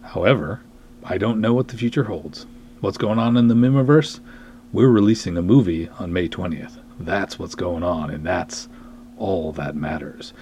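A man's voice: deep voice, moderate pace, warm tone, a little hiss or hum. A man hosting a podcast in a casual monologue, rambling along in a self-deprecating way. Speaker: podcast host, film writer and director.

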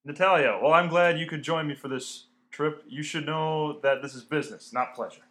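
A man acts a curt talent agent in an old-time radio play. He is stiff and pompous, with a sharp, fairly high voice. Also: normal speed, close to an everyday voice. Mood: stern, businesslike. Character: businesslike male talent agent, curt with his wife. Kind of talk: 1930s radio play acting.

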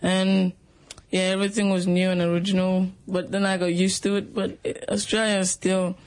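A man with a high, level voice answers questions as a radio guest in a studio. He talks haltingly in simple words, filling gaps with "like" and "yeah". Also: monotone, smooth. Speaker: interview guest.

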